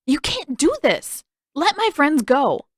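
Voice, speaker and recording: A woman's voice: high voice, sing-song, slow, no echo, clean studio sound, voice pushed up, exaggerated voice. A woman voicing an earnest, hotheaded young boy hero in a fan fiction audiobook reading. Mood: defiant.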